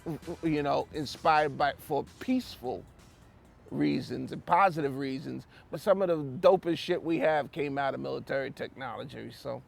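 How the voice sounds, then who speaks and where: A man musing casually in an interview, informal and slangy, in a halting way. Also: mid-range voice, creaky, faint music behind.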